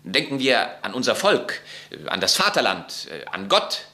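An actor in a radio play voicing a patriotic young officer son, speaking earnestly and declamatorily. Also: male voice, medium pitch, big pitch swings, a little slow, sharp tone.

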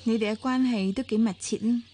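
An actress with a Hong Kong accent playing a composed, perceptive young woman in a radio drama, speaking in a measured, probing way. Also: a little high, steady tone, dark tone, a little hiss or hum, lightly acted. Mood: calm, probing. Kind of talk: Cantonese radio drama acting.

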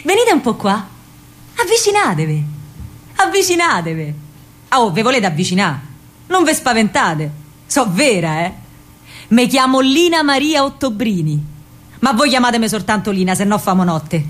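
High-voiced, brash young Roman partisan woman. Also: sing-song, normal speed, pauses often. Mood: beckoning, playful. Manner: colloquial, brash, chatty, directly addressing listeners. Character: feisty young Roman partisan courier woman, tomboyish and earthy. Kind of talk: theatrical monologue in dialect, storytelling to listeners.

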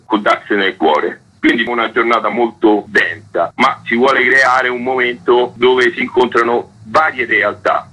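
Husky, bright-toned man explaining. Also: mid-range voice, rough recording. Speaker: event organizer. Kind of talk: radio interview guest.

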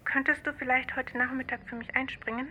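A woman playing a pushy young sister on the phone in a radio drama, brisk and persuasive. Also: high voice, level tone, sharp tone. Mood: urging. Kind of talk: naturalistic radio drama acting.

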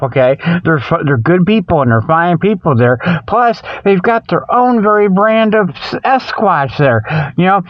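A man's voice: on the high side, no echo, highs cut off. A man hosting a rambling comic radio monologue in a folksy, colloquial, mock-scolding way, full of okays and you-knows. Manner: folksy, colloquial phrasing with frequent "okay" and "you know". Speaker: radio show host.

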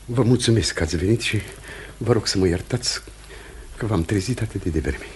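A fairly low male voice with faint noise behind it, an actor playing an anxious Puritan pastor in a radio play. He is hesitant and ingratiating, stammering before his superiors. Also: darkish tone, lightly acted. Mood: ingratiating, polite. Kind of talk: radio theatre acting.

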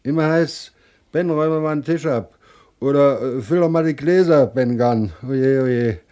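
An actor playing a hapless, self-pitying pirate lackey in a radio play, plaintive, stammering and servile. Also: male voice, mid-range voice, normal speed, dark tone, lightly acted. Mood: whining. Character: whining, hapless pirate lackey, sorry for himself.

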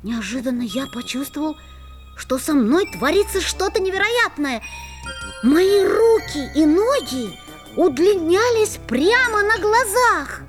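A woman playing an excitable, mischievous schoolboy who tells his own adventure expressively in a children's audio play. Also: very high-pitched, measured pace, over music, heavily acted. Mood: excited.

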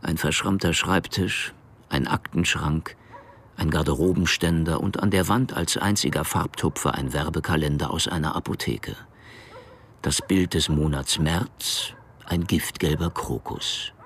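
Sehr tiefer, trockener Krimi-Erzähler. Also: male voice, a bass voice, unhurried, a bit bright, slight background noise, full character voice. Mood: observing, dry. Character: male narrator of a hard-boiled crime story, sardonic, detached. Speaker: narrator. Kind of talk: scripted radio play narration.

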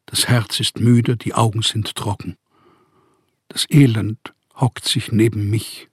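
A husky, low-pitched older man as a weary writer in exile. He speaks in a measured, melancholy way, very slowly, as in a literary radio play. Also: dry recording, studio quality, full character voice. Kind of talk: literary radio drama monologue.

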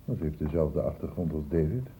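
An actor playing a thoughtful, quietly insistent investigator in a Dutch radio play, deliberate and questioning. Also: male voice, a bass voice, expressive. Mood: pensive, probing. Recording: muffled, hissy old radio recording.

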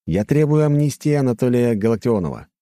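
A man's voice: medium pitch, very animated, fairly quick, dark tone, no room sound, studio quality, pitched above the performer's own voice, close to an everyday voice. A man playing a brash, commanding hunter in an audiobook, with a curt, insistent manner. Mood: firm, demanding. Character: brash, bossy young male hunter, commanding and exasperated. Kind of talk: audiobook character dialogue.

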